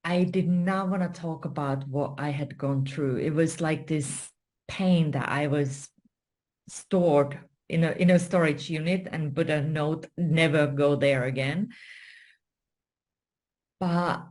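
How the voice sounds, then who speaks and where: A woman with a Finnish accent telling a personal story as an interview guest, in a measured, deliberate way with pauses. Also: deep voice, steady tone, a little mellow. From Finland. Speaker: guest, story coach and spiritual mentor.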